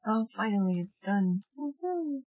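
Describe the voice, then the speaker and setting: A woman's voice: lowish voice, moderate pace, no room sound, narrow, tinny audio. A woman chatting casually and swearing freely as she live streams a game.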